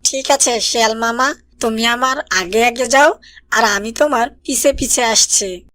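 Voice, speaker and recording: A man's voice: very high voice, unhurried, pushed and bright, no echo, voice pushed up, full character voice. A man voicing a small, timid but clever orphan duckling that calls out in a pleading way, in a cartoon voice-over. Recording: cartoon voice-over with background music.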